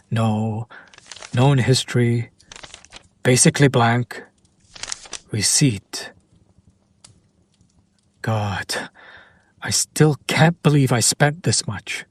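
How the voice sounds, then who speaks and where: A man playing a smug, possessive demon in an intimate roleplay audio drama, teasing and deliberate with breathy pauses. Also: mid-range voice. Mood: wary, exasperated. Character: male demon buyer, smug, teasing, possessive, bemused by his captive.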